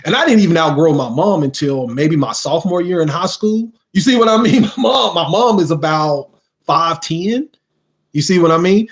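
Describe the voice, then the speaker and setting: Animated man telling a story. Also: fairly high pitch, moderate pace. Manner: animated, acts out quotes, asks "you see what I mean?". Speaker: storytime vlogger. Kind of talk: casual storytelling to viewers.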